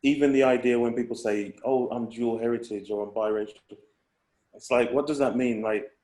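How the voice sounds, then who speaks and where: A man's voice: medium pitch, steady tone, normal speed, darkish tone, echoey room. A man, a guest in casual conversation, reflective and rambling with restarts. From England. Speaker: guest, hip hop artist.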